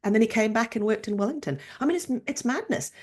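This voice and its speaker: A woman in her fifties from Norway, a chiropractor and researcher telling her story in a casual, animated interview. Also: on the high side, quick pace. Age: 50s. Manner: animated storytelling, acts out quoted voices.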